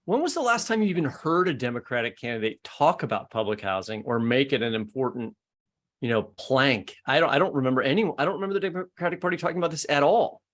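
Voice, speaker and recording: A man's voice: medium pitch, lively intonation, fast talker. An American man co-hosting a podcast, chatting informally and with an inquisitive manner. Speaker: podcast co-host. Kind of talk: casual panel discussion.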